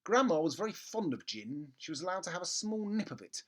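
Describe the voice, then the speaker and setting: A man narrating a children's book expressively, with theatrical emphasis and comic pauses. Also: high-pitched, big pitch swings. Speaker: storybook reader.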